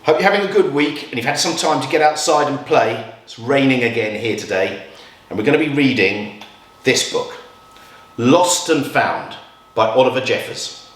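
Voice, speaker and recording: A man reading a picture book aloud to children in a measured, expressive storytelling style with dramatic pauses. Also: mid-range voice. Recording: roomy echo, distant from mic.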